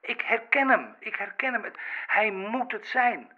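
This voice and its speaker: A man's voice: sits high, expressive, unhurried, a little hiss or hum. A man playing an indignant, insistent radio caller who repeats himself, heard over a telephone line. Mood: urgent, suspicious. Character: indignant male radio caller alleging sabotage. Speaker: caller phoning into a radio show. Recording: thin band-limited telephone line.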